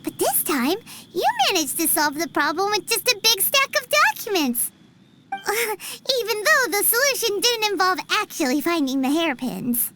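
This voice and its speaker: A woman's voice: extremely high pitch, very animated, normal speed, very noisy. A woman voicing a tiny, chirpy, childlike fairy companion in a video game, with music behind her. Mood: cheerful, chatty.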